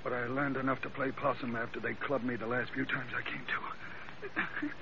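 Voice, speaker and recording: An actor playing a gruff, battered, grumbling ship's mate in an old radio drama, speaking colloquially. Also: male voice, mid-range voice, little pitch movement, moderate pace, pushed and bright. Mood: weary, grumbling. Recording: muffled vintage radio recording, faint noise.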